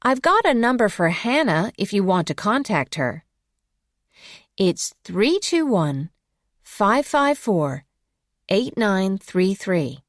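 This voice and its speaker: Woman doing english lesson recordings, slow n careful, lively intonation, dry sound. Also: medium pitch, no echo. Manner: clear, careful enunciation. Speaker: English course voice artist. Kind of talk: scripted example phone messages read slowly for learners.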